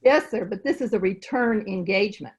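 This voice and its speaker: A woman's voice: medium pitch, brisk, close to an everyday voice. A woman playing a folksy, outspoken household cook in an old-time radio comedy, colloquial and plain-spoken.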